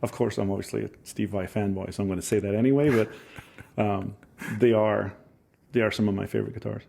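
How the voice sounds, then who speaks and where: A man in his forties with a Scottish accent softened by years in Ohio, a musician chatting in an interview and telling rambling, enthusiastic stories with self-mocking laughs. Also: lowish voice, little pitch movement, a little fast, creaky, slight background noise. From Scotland. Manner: rambling, enthusiastic storyteller who laughs at himself. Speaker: musician guest. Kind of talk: casual interview chat.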